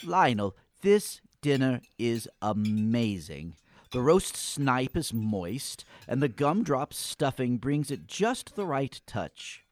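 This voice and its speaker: Man as a pompous, slow-talking exasperated schoolboy. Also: medium pitch, very animated. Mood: formal, pleased. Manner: wordy, theatrically deliberate. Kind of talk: comic radio play acting.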